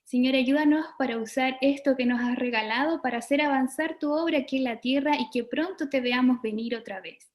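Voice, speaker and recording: High-voiced Chilean woman in an echoey room. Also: a little fast. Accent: Chilean Spanish. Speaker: co-host, doctor. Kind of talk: hosting an online forum, leading prayer.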